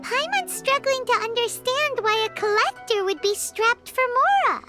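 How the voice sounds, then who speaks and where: A woman voicing a small, childlike, curious fairy companion in a video game, squeaky and excitable. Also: normal speed, soft background music, full character voice. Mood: puzzled. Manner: childlike, refers to herself in third person. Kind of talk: video game character acting.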